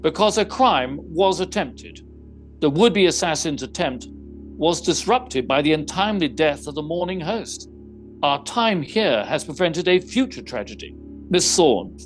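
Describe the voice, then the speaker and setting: A man playing a shrewd, unruffled gentleman detective in an audio theater mystery, speaking precisely with formal, wry phrasing. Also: a little high, lively intonation, unhurried, faint music behind. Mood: formal, assured.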